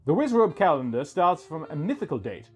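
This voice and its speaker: A man reads a scripted first-person story as its narrator. His voice sits somewhat high and moves up and down in a very animated way. Music plays faintly underneath him. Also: a little fast, husky.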